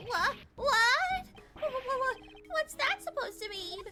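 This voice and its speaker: A woman's voice: very high-pitched, sing-song, slow pace, loud music behind the voice, pitched above the performer's own voice, exaggerated voice. A woman voicing a flustered, naive young man from a courtroom game. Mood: panicked.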